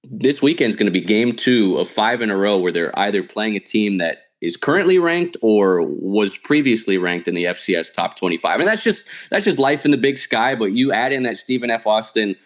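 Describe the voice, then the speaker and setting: A man's voice: on the low side, animated, normal speed. A man who works as a sports announcer, talking casually and analytically as an interview guest. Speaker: radio play-by-play sports announcer, podcast guest.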